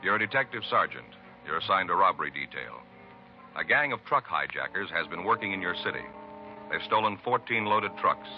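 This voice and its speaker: American man, low-pitched, solemn old-time radio announcer, punchy scripted lines, muffled recording, music behind. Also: sing-song, fairly quick. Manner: solemn, punchy short sentences. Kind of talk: dramatic 1940s-50s radio announcing, scripted.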